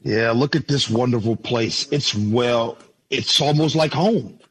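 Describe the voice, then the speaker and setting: A man's voice: mid-range voice, unhurried, slightly rough, rough recording. An actor playing an affectionate, earnest 1950s family father in an old-time radio drama. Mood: relieved, tender. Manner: earnest, folksy.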